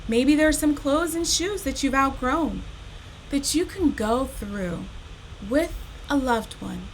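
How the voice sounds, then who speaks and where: Woman, children's storytime host, high voice, unhurried delivery, expressive and encouraging, some background noise. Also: rough recording. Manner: animated, expressive, addresses children directly with questions. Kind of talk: children's read-aloud and encouraging direct address.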